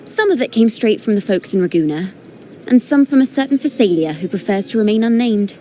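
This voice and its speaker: Mellow, wry young storyteller voiced by a woman, light noise behind. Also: mid-range voice, expressive, fairly quick, close to an everyday voice. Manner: wry, conversational recounting. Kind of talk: video game voice acting.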